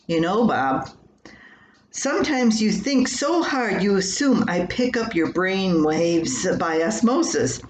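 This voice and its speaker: A wry farm wife played by an older woman reading a story, patient yet exasperated and gently chiding. She speaks slowly and pointedly in an echoey room, with faint noise behind. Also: lowish voice, big pitch swings. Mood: wryly exasperated. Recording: roomy echo with faint background noise.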